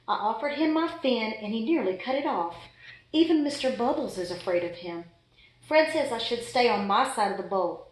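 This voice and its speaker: A woman's voice: medium pitch, close to an everyday voice. A woman voicing an exasperated, fussy pet goldfish in a dramatic children's storybook read-aloud. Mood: grumpy, deadpan. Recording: echoey room.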